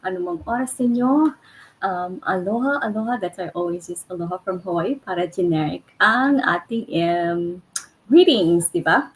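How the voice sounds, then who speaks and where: A woman's voice: lowish voice, lively intonation, moderate pace, slight background noise, low-quality recording. A middle-aged woman from the Philippines with a Filipino accent, chatting casually on a livestream from her home and mixing in Tagalog and Ilocano. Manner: chatty, switches between English, Tagalog and Ilocano. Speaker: livestream host.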